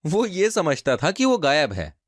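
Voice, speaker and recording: A man's voice: fairly high pitch, huge pitch swings, measured pace, no room sound, studio quality. A man narrating a scripted children's folk tale as a storyteller.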